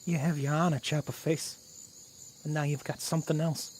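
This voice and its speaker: A man's voice: a little high, level tone, normal speed, darkish tone, a little hiss or hum, close to an everyday voice. A man voicing a scrappy, loyal robot rat in a cartoon radio drama, talking in informal street slang. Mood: sorrowful. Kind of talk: animated-series radio drama acting.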